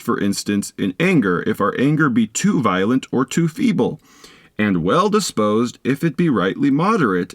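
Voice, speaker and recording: A man reading a philosophy text aloud as an audiobook narrator, in a measured, didactic manner with deliberate phrasing. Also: mid-range voice, dry recording, studio quality. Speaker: audiobook reader.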